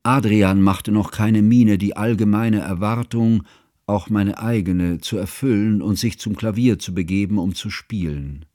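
Low, mellow earnest scholarly narrator, an actor's role. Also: male voice, unhurried, dry recording, studio quality, natural voice. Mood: earnest, measured. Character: earnest, fussy, devoted scholarly friend recounting events. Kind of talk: literary radio drama narration.

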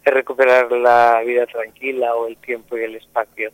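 A man's voice: medium pitch, level tone, moderate pace, no room sound, rough recording. Hesitant male radio guest, dry sound. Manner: hesitant, repeats words and restarts. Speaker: guest organizer of a rural gathering. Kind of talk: radio interview.

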